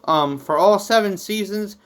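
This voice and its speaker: Casual vlog host, medium pitch, slow pace, rambling and a little theatrical, addressing viewers directly. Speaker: YouTube host and manga creator.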